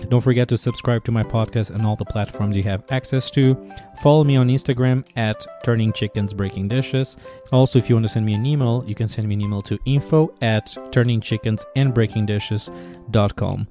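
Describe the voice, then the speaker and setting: A man's voice: lowish voice, warm tone. A man from Portugal with a Portuguese accent, hosting an interview podcast in a playful way with joking asides. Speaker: podcast host.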